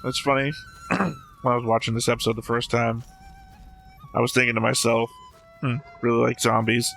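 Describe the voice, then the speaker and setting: Gravel-edged man giving casual commentary. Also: medium pitch, fairly even intonation, moderate pace, fairly bright tone, low-quality recording. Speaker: reaction video host. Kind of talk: casual commentary on an animated series. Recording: music playing behind the voice. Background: show soundtrack music.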